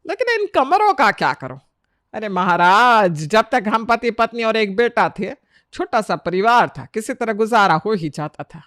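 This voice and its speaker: A woman's voice: mid-range voice, big pitch swings, normal speed, fairly bright tone, no echo. A woman acts out a middle-aged Indian mother-in-law in a family storybook tale. She is fussy and worried, chiding and emphatic in every phrase. The recording is close and dry. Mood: exasperated.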